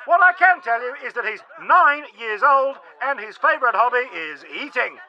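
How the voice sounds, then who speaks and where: A man's voice: very high-pitched, lively intonation, moderate pace. An actor playing a bumbling, stammering male TV news reporter in a radio drama, heard as a dull broadcast. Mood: eager. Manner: flustered, stammering. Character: bumbling male TV reporter squeezing through crowd.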